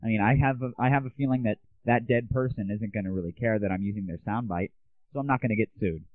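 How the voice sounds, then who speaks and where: A young man with a lowish voice hosts a comedy audio show in a cocky, sarcastic monologue style. The recording is dry and very narrowband, tinny like an old web stream. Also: fast talker, dry recording. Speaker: host of an internet audio show. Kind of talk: scripted comic monologue. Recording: tinny, band-limited lo-fi audio.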